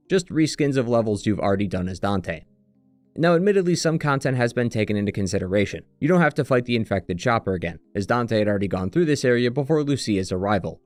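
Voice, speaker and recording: A man's voice: medium pitch, a little mellow, no room sound. A man reading scripted, analytical commentary as the narrator and critic of a video game retrospective.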